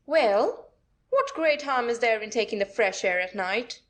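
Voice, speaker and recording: An actress playing a scheming, scornful young wife in a classic comedy audio play, with a haughty theatrical manner. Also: female voice, fairly high pitch, lively intonation, measured pace, fairly bright tone. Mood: defiant pleading.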